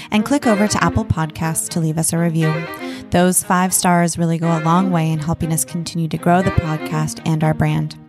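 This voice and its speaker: A woman hosts a podcast in a friendly way and laughs easily. Her voice sits slightly low. Clear music plays beneath her as she reads the outro. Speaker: podcast host.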